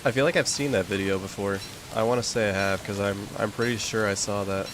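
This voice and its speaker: A man's voice: on the low side, fairly even intonation, a little fast. Young man chatting casually over hiss. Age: young adult. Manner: rambling, with many fillers. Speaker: gaming streamer. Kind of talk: casual chat while playing a game.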